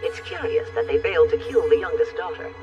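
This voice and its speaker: A man playing a cold, controlling grandparent who speaks formally and with calculation in an animated drama. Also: sits high, brisk, gravelly, recorded from a distance, muffled recording, loud music behind the voice, full character voice. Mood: cold, commanding. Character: stern, manipulative old grandparent, cold and controlling villain.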